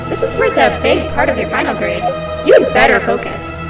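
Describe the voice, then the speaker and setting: A woman's voice: fairly high pitch, big pitch swings, moderate pace. A woman playing a strict, menacing schoolteacher in a horror video game, prim, commanding and theatrical. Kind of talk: video game character acting. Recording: echoey, distant, very loud music.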